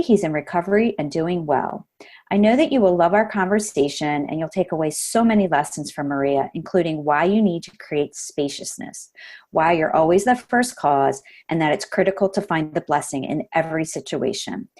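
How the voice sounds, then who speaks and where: A woman with a lowish voice hosts a podcast. she delivers a friendly scripted intro and then moves into easygoing questions for her guest. her speech sounds plain and close. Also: a little mellow. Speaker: podcast host.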